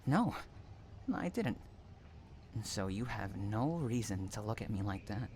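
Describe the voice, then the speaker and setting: A man's voice: lowish voice, animated, slow pace, a little mellow, a little hiss or hum. A man acting an obsessive, unhinged stalker in an audio roleplay, cooing pet names and drawing out words between tenderness and anguish. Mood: menacing, coaxing. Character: obsessive, unhinged male stalker lover, sweetly possessive and menacing. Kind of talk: dramatic roleplay audio monologue.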